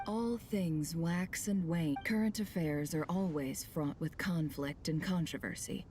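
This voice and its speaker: A low-pitched composed young woman from a video game. She speaks in a measured, self-assured way, as someone shrewd and sure of herself. There is a faint noise in the background. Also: a little mellow. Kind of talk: scripted video game voice acting.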